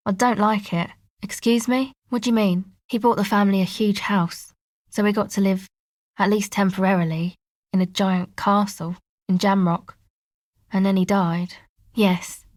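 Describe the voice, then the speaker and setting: A cool, guarded young woman from a video game, played by an actress, talks with casual, slangy understatement. Her voice sits at an average female pitch and is laid-back and dry in delivery. The recording is close and free of room sound. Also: steady tone, moderate pace, no echo, natural voice. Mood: matter-of-fact, resigned, then somber, flat. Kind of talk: video game dialogue acting.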